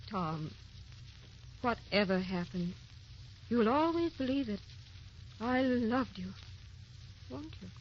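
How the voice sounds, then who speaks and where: An actress playing a tender, anxious young wife in an old radio drama, with a theatrical, wistful, pleading delivery. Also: female voice, on the high side, lively intonation, slow pace, long pauses. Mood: anxious, tearful. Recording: dull, hissy old radio recording.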